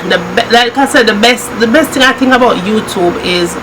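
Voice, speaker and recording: A woman's voice: fairly high pitch, a little fast, noisy background. A middle-aged woman from Jamaica with a Jamaican accent, chatting casually with her daughter on her vlog, laughing often and slipping into patois. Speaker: cooking channel host. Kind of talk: casual chat.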